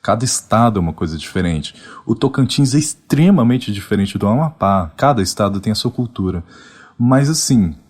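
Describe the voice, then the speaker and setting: A man's voice: lowish voice, animated, normal speed, darkish tone. A man co-hosting a podcast, framing the topic and asking the guests questions. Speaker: podcast co-host.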